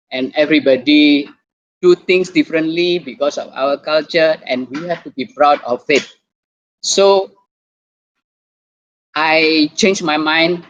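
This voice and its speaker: A man with an Indonesian accent giving an online talk as a doctor, halting and repeating words as he goes. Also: a little high. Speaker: doctor and guest speaker. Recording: muffled lo-fi video call.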